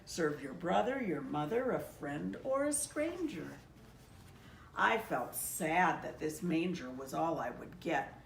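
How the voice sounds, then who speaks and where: A woman reads a storybook aloud at a very slow, measured pace, with gentle expression in her delivery. Her voice sits slightly low, and she is recorded at a distance from the mic in a very echoey room. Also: animated, creaky, slight background noise. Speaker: pastor reading a children's story aloud.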